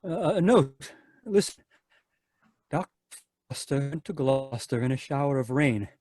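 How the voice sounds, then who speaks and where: A man's voice: medium pitch, expressive, a little slow, darkish tone, dry recording, studio quality. A man playing a sinister doctor who turns out to be a nursery-rhyme killer, in an old-time radio drama read over a video call. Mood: nervous. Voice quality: creepy, sing-song when reciting rhymes. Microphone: home computer mic.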